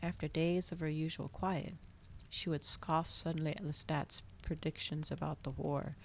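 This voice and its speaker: A woman reading a novel aloud in a soft, cozy ASMR style, with slow, dramatic literary phrasing. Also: sits low, fairly even intonation, gravelly, slight background noise. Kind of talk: cozy ASMR storybook narration.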